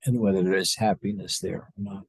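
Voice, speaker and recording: Husky, low-voiced man guiding a meditation. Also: level tone, dry recording. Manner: slow, halting, with long pauses. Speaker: spiritual teacher leading guided meditation. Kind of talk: unscripted guided meditation talk to a group.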